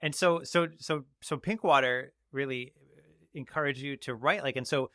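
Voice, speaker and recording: A man hosting a casual interview, asking friendly, halting questions with many restarts. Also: mid-range voice, bright, forceful tone, no echo, studio quality. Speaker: interview host.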